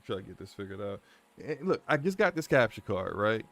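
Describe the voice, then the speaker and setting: Deep-voiced man streaming games. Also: big pitch swings, fairly quick, a bit bright. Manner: flustered, talks himself through tech trouble. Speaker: game streamer. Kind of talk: casual live-stream chat while setting up a game.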